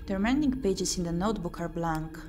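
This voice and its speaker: Expressive woman streamer over clear background music. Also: lowish voice, brisk. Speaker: streamer playing a visual novel. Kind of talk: game playthrough reading text aloud with murmured asides. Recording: music playing behind the voice.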